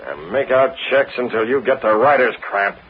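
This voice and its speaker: An actor playing a commanding, threatening masked vigilante in a clipped, tough old-time radio adventure style. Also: male voice, medium pitch, animated, moderate pace, slightly rough. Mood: stern, commanding. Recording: muffled, noisy old radio recording.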